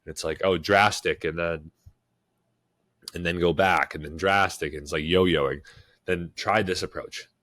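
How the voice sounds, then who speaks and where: A young man with a very low voice and a stuffy, congested nose from a cold. He co-hosts a casual podcast, talking loosely and filling his sentences with "like" and "right?". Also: a little slow. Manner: relaxed, repeats "like" and "right?" often. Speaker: podcast co-host.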